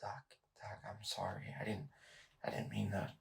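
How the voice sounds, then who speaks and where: A man's voice: on the low side, monotone, normal speed, creaky, noisy background. A man playing a sleep-deprived, tormented and defensive man in an audio drama, with an unsteady, volatile delivery. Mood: quiet remorse.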